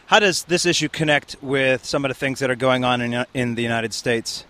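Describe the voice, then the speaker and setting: Male street interviewer, mid pitch, long probing questions, outdoors. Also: normal speed, fairly bright tone.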